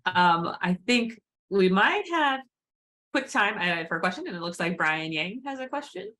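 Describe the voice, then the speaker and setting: A woman's voice: mid-range voice, big pitch swings, normal speed, bright, forceful tone. A woman moderating an online academic talk, appreciative and fluent. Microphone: video call.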